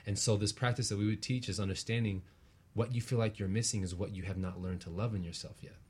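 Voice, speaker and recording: A man with a fairly deep, husky voice talks as a guest teacher. He explains spiritual ideas earnestly and conversationally, often ending a thought with a quick tag question to check the listener follows. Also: fairly even intonation. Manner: earnest, explanatory, often tags phrases with "right?". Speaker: guest, relationship practitioner and teacher.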